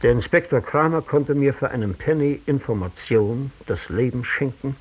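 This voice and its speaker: Man acting a sensitive disgraced gentleman, slightly rough mid-range voice, literary and measured, 1960s German radio monologue. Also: mid-range voice, natural voice. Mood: resigned, earnest. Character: reflective, sensitive disgraced gentleman giving a confession.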